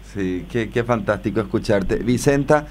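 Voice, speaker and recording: A man's voice: on the low side, a little slow, a little mellow, a little hiss or hum. Courteous man hosting a radio call. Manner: courteous, brief interjections and questions. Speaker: radio host. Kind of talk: radio interview.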